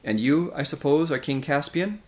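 A man voicing a courteous, steady boy High King with a composed, decisive manner in a storybook. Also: normal speed, natural voice.

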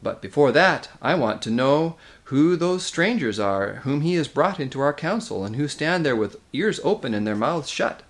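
A man's voice: on the high side, very animated, normal speed, hardly any pauses, voice pushed up. A man voicing a dutiful young king in a storybook, commanding and exasperated.

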